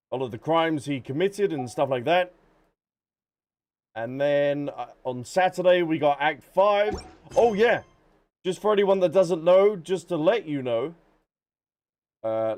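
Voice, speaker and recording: A man giving casual, chatty livestream commentary on a game, talking straight to his viewers. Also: on the high side. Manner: chatty, addressing viewers directly. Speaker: game streamer. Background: game music.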